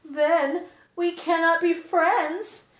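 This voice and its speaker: A woman voicing an anxious, dramatic storybook elephant with exclamatory speech and halting build-ups to big sneezes. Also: very high voice, fairly even intonation, roomy sound, a little hiss or hum, exaggerated voice. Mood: worried, dramatic.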